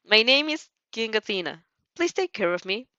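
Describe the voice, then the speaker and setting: A woman voicing an earnest, excitable teenage heroine in an audio fan-fiction drama, polite and teasing with an eager, gushing delivery. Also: a little high, normal speed, no room sound, muffled recording. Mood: formal, eager.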